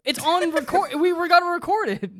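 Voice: very high voice, a little fast, a little hiss or hum. A podcast co-host chatting casually and comedically, in a loose, jokey way with some swearing.

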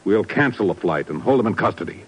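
An actor playing a gruff, worried intelligence bureau chief in a 1950s radio drama, with an urgent, emphatic delivery. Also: male voice, on the low side, lively intonation, fast talker, highs cut off. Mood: alarmed, exasperated.

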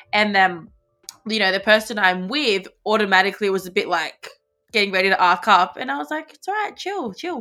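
Bright-voiced young Australian woman. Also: a little high. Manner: animated, swearing, lots of fillers. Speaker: podcast co-host. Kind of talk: casual storytelling chat.

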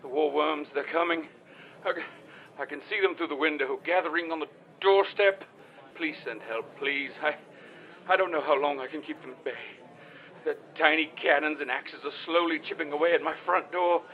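A man plays a nervous male caller in an audio comedy drama, his voice on the high side. He stammers and repeats himself in a flustered rush of politeness and panic. The line sounds muffled. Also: normal speed, natural voice. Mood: panicked, pleading. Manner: stammering, repetitive, apologetic. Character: frantic, flustered, easily panicked male caller under siege.

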